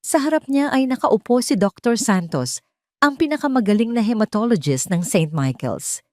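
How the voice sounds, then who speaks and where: A woman's voice: on the high side, expressive, moderate pace, no echo, studio quality. A woman with a Filipino accent narrating a scripted dramatic story.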